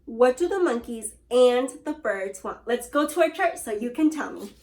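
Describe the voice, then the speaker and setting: A woman's voice: on the high side, animated, moderate pace, darkish tone, reverberant. A woman teacher reading a storybook aloud to young students and asking them questions in an encouraging way. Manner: encouraging, addresses class as scholars. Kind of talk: class read-aloud and lesson.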